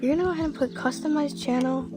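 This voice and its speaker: A woman's voice: high voice, steady tone, racing, over music. A woman talking casually through a screen-recorded tutorial, one step at a time. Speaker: tutorial maker.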